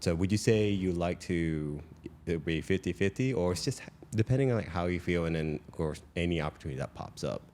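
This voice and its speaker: A man's voice: low-pitched, expressive, fairly quick, darkish tone. A young man from Malaysia hosting a casual interview podcast, rambling and restarting his sentences as he chats. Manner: rambling, restarts often, laughs easily. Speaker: podcast host.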